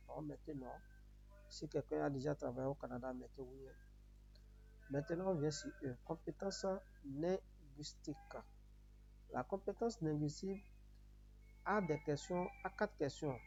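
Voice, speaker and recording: A man with a Beninese accent and Yoruba as his first language presents a tutorial one step at a time. His voice is slightly high and soft-edged in tone, with a dark, relaxed colour. He repeats his instructions in simple patterns, over a faint hum in the recording. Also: creaky. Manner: repetitive, instructional phrasing. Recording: muffled audio with noticeable background hum.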